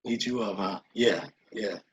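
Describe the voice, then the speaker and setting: A man's voice: on the low side, fairly even intonation, measured pace. A man interviewing someone in a casual, conversational way.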